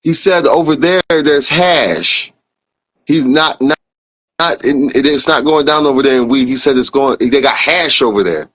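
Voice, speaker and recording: Excitable male podcast host. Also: medium pitch, measured pace. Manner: excitable, jumps between questions. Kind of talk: casual chat.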